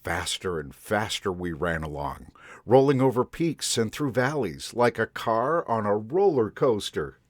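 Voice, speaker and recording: Man storyteller for kids, gentle read-aloud, low pitch, very slow pace. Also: no room sound, clean studio sound. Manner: gentle, unhurried, expressive reading. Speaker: storyteller in a Santa persona.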